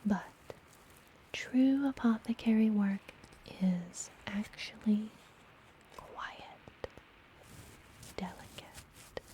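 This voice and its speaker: Woman doing a friendly witch healer for fantasy asmr, super slow soft whisper, mellow, some clear background noise. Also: medium pitch, poor audio. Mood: calm, instructive. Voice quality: breathy whispering. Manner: slow, gentle, soothing delivery. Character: friendly, soothing woman witch running an enchanted apothecary. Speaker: ASMR roleplay performer. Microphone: close ASMR microphone.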